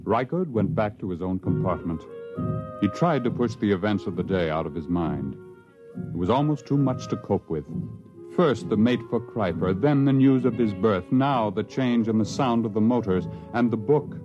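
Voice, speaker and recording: Deep-voiced man narrating an old radio drama. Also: expressive. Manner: measured, storytelling. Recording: dull lo-fi vintage radio recording with music.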